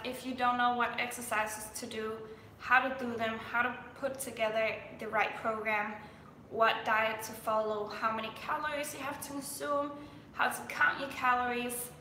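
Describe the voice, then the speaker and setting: A woman's voice: on the high side, sharp tone, roomy sound, slight background noise, low-quality recording. A young woman from Denmark, a fitness coach chatting on a live stream, full of fillers and restarts.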